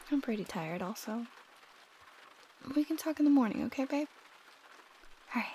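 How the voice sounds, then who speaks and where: High-voiced, affectionate roommate played by a woman, ASMR. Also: lively intonation, unhurried, pauses often, slight background noise. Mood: tender, sleepy. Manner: soft, breathy, often dropping to a whisper. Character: affectionate young woman roommate, shyly confessing love, playfully teasing. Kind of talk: intimate ASMR roleplay, addressed to the listener.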